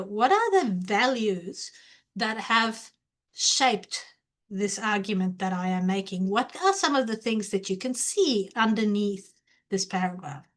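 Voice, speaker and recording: A woman lecturer with a somewhat high-pitched voice walks her students through how to read academically. English is not her first language. She explains earnestly and keeps asking them rhetorical questions. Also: fairly bright tone. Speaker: university lecturer.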